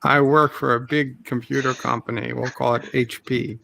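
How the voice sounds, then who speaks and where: Man doing a bragging Slovakian guy, mock accent, gravelly, bright. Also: mid-range voice, normal speed, a little hiss or hum, low-quality recording. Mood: joking. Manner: goofy, deadpan brags.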